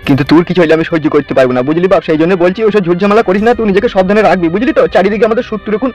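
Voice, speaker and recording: A man's voice: high voice, racing, faint music behind, lightly acted. Man voicing a wounded old village father. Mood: pleading. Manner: pleading, hushing, cautioning.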